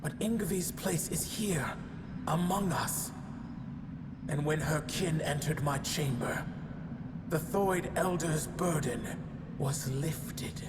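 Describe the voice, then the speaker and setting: Old wise giant woman from a game, super measured and solemn, big cave reverb, noise behind her. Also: sits low. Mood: measured, reproachful. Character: ancient solemn female giant, stubborn and wise. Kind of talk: dramatic game cutscene monologue. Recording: long cavernous reverb, noisy ambience.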